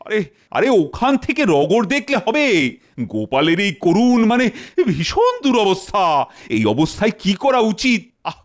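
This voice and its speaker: A man voicing an excitable, imperious Indian king in an animated comedy, with theatrical, exclamatory delivery. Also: high voice, a little slow. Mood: impatient. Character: flustered, excitable Indian king holding court. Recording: loud background music.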